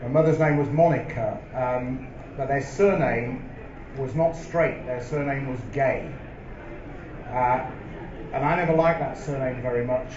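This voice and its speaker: A middle-aged man, telling stories in a live on-stage interview, measured and full of ums and restarts. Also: medium pitch. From England. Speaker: interviewee, company founder. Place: event hall with a live audience. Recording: roomy, dull live hall recording with noise.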